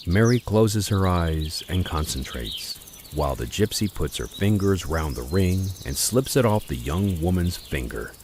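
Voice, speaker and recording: A man narrating an old-time radio drama in an expressive storytelling manner. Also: sits low, unhurried, background noise.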